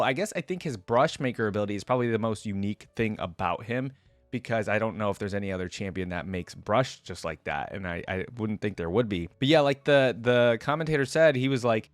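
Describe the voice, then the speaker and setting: A man's voice: medium pitch, no room sound. A man giving casual, informal reaction commentary, musing aloud as he goes. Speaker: reaction video host. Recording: music bed behind the voice. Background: game music.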